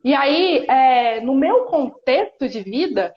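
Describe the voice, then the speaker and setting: High-voiced Brazilian woman, earnest and reflective. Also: big pitch swings. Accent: Brazilian Portuguese, Espírito Santo. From Espírito Santo, Brazil. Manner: earnest, reflective, many pauses and restarts. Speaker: guest. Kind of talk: casual chat on a live stream. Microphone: phone or laptop on a video call. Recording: roomy, dull live-stream audio.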